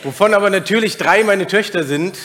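A man from Germany in his thirties gives a casual, personal talk to a church congregation. His voice sits a little on the high side for a man, and he often pauses on filler sounds. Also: fairly bright tone. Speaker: guest preacher.

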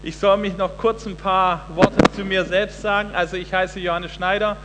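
A man's voice: high-pitched, steady tone, moderate pace, in a large hall, background noise. A man in his forties, from Hesse, giving a sermon as a guest preacher, earnest and emphatic, with frequent fillers and restarts. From Hesse, Germany. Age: 40s.

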